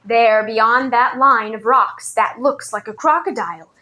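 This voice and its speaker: A woman's voice: fairly high pitch, a little slow, sharp tone. A woman voicing an eager, impulsive young boy who calls out breathlessly in a storybook reading. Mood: excited.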